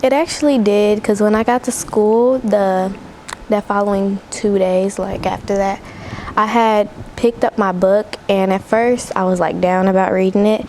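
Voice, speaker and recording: A woman's voice: medium pitch, steady tone, unhurried, warm tone, a little hiss or hum. An American girl in her early teens giving informal interview answers as a youth volunteer. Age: early teens, eighth grader.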